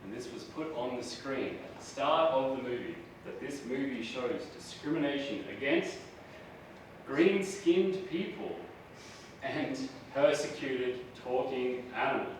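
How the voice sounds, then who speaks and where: A man's voice: mid-range voice, unhurried. A man with an Australian accent giving a university lecture, chatty and self-deprecating, often asking the audience questions. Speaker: guest lecturer. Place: large lecture hall. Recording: echoey hall, voice from far off, noisy.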